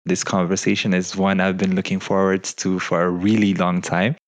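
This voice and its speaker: A man's voice: low voice, level tone, normal speed. A man hosting a podcast, asking questions in a conversational interview style. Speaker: podcast host.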